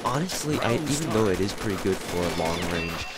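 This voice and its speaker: A man's voice: fairly low pitch, expressive, a little fast. A man with a stuffy, nasal-sounding voice, rambling casually through a game review from his room. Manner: rambling, self-correcting, informal with mild swearing. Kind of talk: casual gameplay review commentary. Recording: loud gunfire noise under voice. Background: loud game gunfire.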